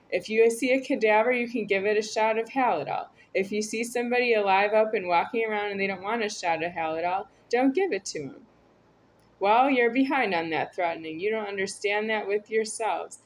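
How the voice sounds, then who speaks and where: A woman's voice: medium pitch, slight background noise, low-quality recording. A woman talking to camera in a meandering, repetitive, earnest monologue. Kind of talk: rambling spoken monologue to camera.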